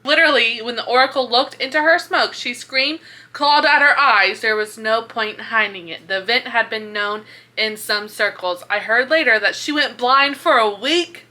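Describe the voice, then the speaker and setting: A woman book vlogger chatting casually in an expressive, informal way with plenty of swearing. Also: on the high side, moderate pace. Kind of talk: casual reaction chat to camera.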